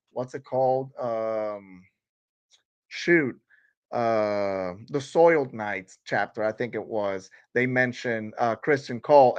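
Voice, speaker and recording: A man's voice: mid-range voice, fairly bright tone, muffled recording. Puerto Rican man hosting a casual live stream. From Puerto Rico. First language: Spanish. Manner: rambling with many fillers and restarts.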